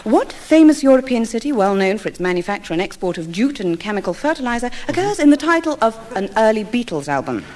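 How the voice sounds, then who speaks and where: Breezy high-voiced woman quiz host. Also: sing-song, noisy background. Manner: brisk, breezy presenter patter. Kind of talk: spoof radio quiz hosting.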